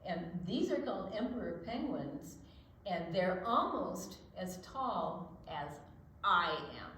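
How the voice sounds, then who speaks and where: An older woman co-hosting a children's storytime, explaining and reading in a gentle, deliberate, expressive way. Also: lowish voice, very echoey, a little hiss or hum. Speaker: children's storytime co-host and reader.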